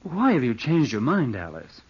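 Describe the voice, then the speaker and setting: Scheming young heir, dramatically swinging voice. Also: male voice, medium pitch, huge pitch swings, moderate pace, husky. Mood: stung. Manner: theatrical, brooding, curt with servants. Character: scheming, murderous young heir, nervy and imperious. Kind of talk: 1940s radio drama acting. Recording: muffled old radio drama recording.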